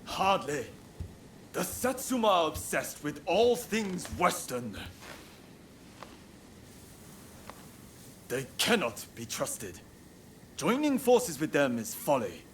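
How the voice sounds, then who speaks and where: A man's voice: a little high, very animated, a little hiss or hum, exaggerated voice. An actor playing a proud, idealistic male samurai rebel who speaks like an orator in a video game drama. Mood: stern, dismissive. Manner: deliberate, rousing, oratorical. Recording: loud music over the voice.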